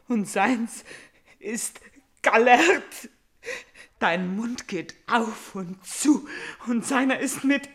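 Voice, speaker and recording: An actress playing a vengeful, tormented young princess in a classical radio tragedy, declamatory and intense, swinging between whispers and outcries. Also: female voice, fairly high pitch, husky. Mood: anguished. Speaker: radio drama actor. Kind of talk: classical tragic radio play acting.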